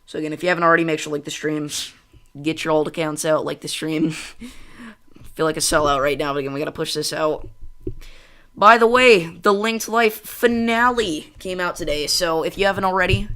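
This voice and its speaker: A young man from central Illinois hosting a casual weather livestream, chatting excitedly and wandering off on tangents while reading chat. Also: a little high, clean tone. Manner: chatty, goes on tangents, talks fast and excitedly.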